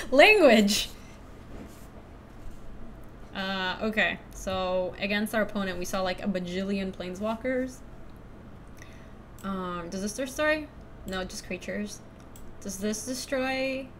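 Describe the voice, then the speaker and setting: A young woman from Ecuador chatting casually through a card game stream, thinking out loud and laughing often. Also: medium pitch, slow pace, pauses often, a little hiss or hum. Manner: chatty, giggly, thinks aloud to chat. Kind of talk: casual live-stream commentary.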